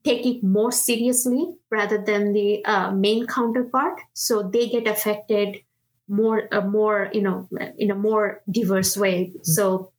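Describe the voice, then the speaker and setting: A woman's voice: medium pitch, fairly even intonation, takes it slowly, poor audio. A woman in her thirties from India with an Indian accent, a product manager talking earnestly in an interview, encouraging and full of fillers and restarts. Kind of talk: casual interview talk.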